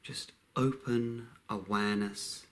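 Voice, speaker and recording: A man leading a guided meditation in a calm, unhurried way, with long pauses between short phrases. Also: on the low side.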